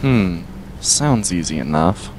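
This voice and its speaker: A man playing a brash, gruff half-dog demon who is secretly contrite, in an amateur audio drama. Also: low-pitched, animated, slow, darkish tone, a little hiss or hum. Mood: grudging.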